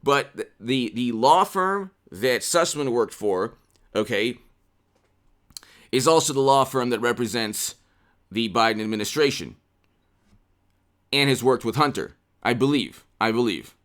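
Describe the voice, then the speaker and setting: Casting: American male commentator, 40s, mid-pitched, unscripted and emphatic, repeats phrases for stress, close desk mic. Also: lively intonation, unhurried, pauses often, fairly bright tone. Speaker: political commentary channel host. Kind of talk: unscripted political commentary to camera. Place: small indoor room with poster-covered walls. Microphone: desk condenser microphone, close.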